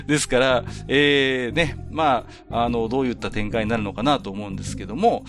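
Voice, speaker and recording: A male podcast host talks over clearly audible music. His voice sits at a medium pitch. He explains in a polite, conversational way, as in a casual solo monologue. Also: fairly quick. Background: music under the voice.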